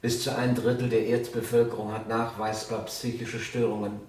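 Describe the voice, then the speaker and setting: Ein Schauspieler spielt in einem Krimi-Hörspiel einen feierlichen religiösen Prediger an der Haustür, gemessen und rhetorisch. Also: male voice, medium pitch, little pitch movement, darkish tone, lightly acted. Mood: solemn, rhetorical. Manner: rhetorical, measured, preaching. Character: solemn door-to-door religious preacher reciting doom and salvation. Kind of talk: German radio crime play acting. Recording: very echoey, distant from mic.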